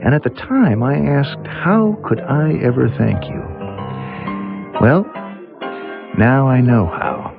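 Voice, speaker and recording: Animated, earnest novelist. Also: male voice, mid-range voice, takes it slowly, gravelly, long pauses, exaggerated voice. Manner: earnest, emphatic. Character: earnest, successful male novelist, former protégé, easily stung. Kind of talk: old-time radio mystery acting. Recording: muffled old radio broadcast with music.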